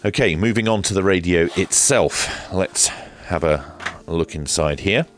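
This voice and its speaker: A man's voice: sits low, unhurried, fairly bright tone, soft background music. A man presenting a hands-on product review in a casual, hesitant way with dry, understated humour. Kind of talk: casual hands-on unboxing commentary.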